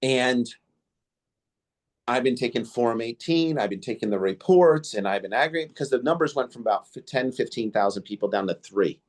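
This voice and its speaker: A man's voice: medium pitch, animated, brisk. A man hosting a podcast interview, whose first language was Indo-Greek, telling stories in a rambling way with many fillers and restarts. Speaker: podcast host. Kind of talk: casual interview storytelling.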